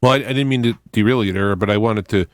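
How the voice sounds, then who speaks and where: Low-voiced male radio host, very dry sound. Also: quick pace, no room sound. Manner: interjecting, explaining at length, colloquial. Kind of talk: conversational radio interviewing.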